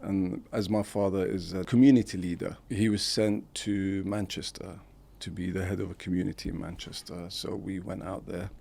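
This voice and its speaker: A man in his forties speaks as a podcast guest, his voice very low and gravelly. He recalls his past in an unhurried, reflective way. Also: flat delivery, warm tone. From born in Syria to Iraqi parents, raised in England. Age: 40s. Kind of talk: casual interview chat.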